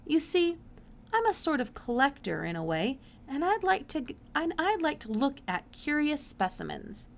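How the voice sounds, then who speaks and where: A woman voicing a brisk, curious, absent-minded older male scientist in a storybook, with hesitant mutters and emphatic exclamations. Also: sits high, very animated, gravelly, pitched above the performer's own voice, exaggerated voice. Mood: eager, fussy.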